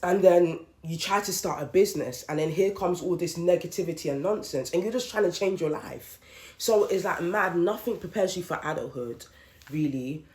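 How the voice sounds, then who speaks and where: A woman's voice: on the low side, normal speed, darkish tone. Emphatic woman venting, roomy sound. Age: late 30s. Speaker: podcast host. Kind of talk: candid solo monologue. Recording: roomy echoey room.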